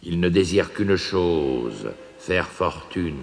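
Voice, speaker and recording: Man as a slow, monotone Christmas spirit. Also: low-pitched, gravelly, long reverb tail, voice pushed up, full character voice. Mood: solemn. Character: stern, monotone male spirit of Christmases past. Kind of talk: storybook dramatization.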